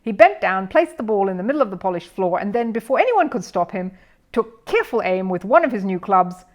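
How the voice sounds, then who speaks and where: A woman's voice: medium pitch, big pitch swings, fairly quick. A woman narrating a children's storybook as a scripted reading, in the role of storyteller. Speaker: storyteller, audiobook reader.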